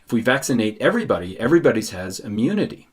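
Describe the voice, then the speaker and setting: A man's voice: mid-range voice, very animated, racing, slightly rough. A man teaching in a didactic explainer style, posing questions and answering them himself. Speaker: science educator. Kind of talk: explainer lesson narration.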